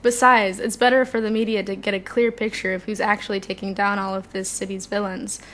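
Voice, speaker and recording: A woman's voice: mid-range voice, flat delivery, fairly quick, fairly bright tone, lightly acted. A woman playing an eager, nerdy superhero sidekick in an audio drama, polite, chatty and earnest.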